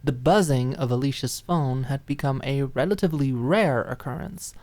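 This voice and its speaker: A woman's voice: a bass voice, moderate pace, darkish tone. A woman reading scripted story narration for an ASMR-style dramatic audiobook.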